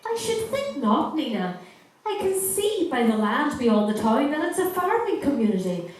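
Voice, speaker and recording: A woman playing a kindly, commanding captain hero in a stage pantomime, measured and reassuring. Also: sits high, sing-song. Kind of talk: scripted pantomime stage acting. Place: theatre stage. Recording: distant, very echoey hall recording.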